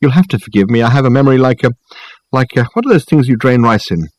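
A man's voice: mid-range voice, big pitch swings, fairly quick, no room sound, pitched above the performer's own voice. A man voicing an absent-minded, kindly old male professor with a hesitant, muddled manner in an audiobook. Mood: vague, puzzled.

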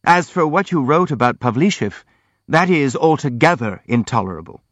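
Audiobook role: gentle young prince, performed by a man, courteous, earnest, timid, hurrying to explain himself. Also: mid-range voice, big pitch swings, moderate pace, no echo, muffled recording, voice pushed up. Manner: polite, earnest, hastily apologetic.

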